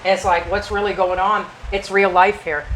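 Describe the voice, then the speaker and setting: A woman's voice: mid-range voice, flat delivery, normal speed, fairly bright tone, rough recording. Older american lady chatting, hops between points, bit of background noise. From United States. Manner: chatty, talks over her partner, jumps between points. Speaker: co-host. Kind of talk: casual chat.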